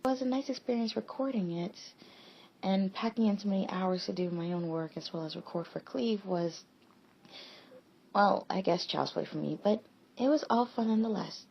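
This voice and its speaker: Female amateur voice actor, creaky and reflective, halting delivery, dull home recording with faint noise. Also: medium pitch. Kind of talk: informal spoken review.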